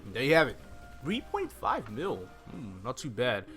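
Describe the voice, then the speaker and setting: A man's voice: mid-range voice, wildly swooping pitch, normal speed, fairly bright tone. Young man casually commentating over game music. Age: young adult. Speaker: gaming video host. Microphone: desk microphone close to the mouth.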